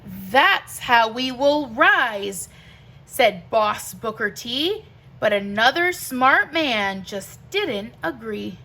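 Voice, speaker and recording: A woman reading a rhyming children's picture book aloud like a teacher, with expressive, deliberate and emphatic phrasing. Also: fairly high pitch.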